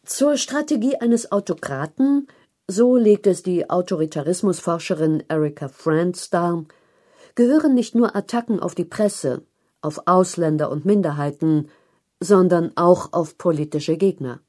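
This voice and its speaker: A woman narrating a written news feature in a measured, articulate read-aloud style. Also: lowish voice, mellow. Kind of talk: scripted narration of long-form journalism.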